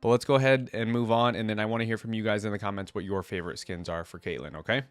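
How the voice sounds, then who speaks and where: A man's voice: fairly low pitch, fairly even intonation, fast talker, clear, resonant tone, no room sound. A man with a stuffy, congested voice from a cold, giving casual, rambling reaction commentary as a video host, thinking aloud as he goes. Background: game theme music.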